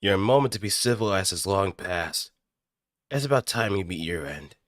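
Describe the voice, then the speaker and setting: A man's voice: deep voice, lively intonation, moderate pace, a bit bright, no room sound, studio quality, pitched below the performer's own voice. A man voicing a cold, threatening fighter from an anime robot game, delivering stern taunts. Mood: cold threat.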